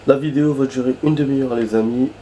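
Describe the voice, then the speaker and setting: Hoarse man with a cold. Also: medium pitch, steady tone, fast talker, mellow, rough recording. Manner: rambling, chatty, bursts of surprised swearing. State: hoarse from a cold, losing his voice. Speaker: gaming video host. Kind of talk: casual let's-play commentary. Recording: background noise and game sounds.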